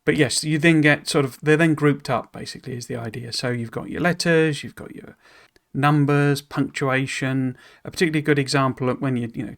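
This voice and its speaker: A man's voice: mid-range voice, fairly bright tone. A man hosting a stream who reads a game developer's blog post aloud and then explains it casually. His asides are loose and hesitant, full of "you know" and restarts, and he is self-deprecating about pronouncing foreign words.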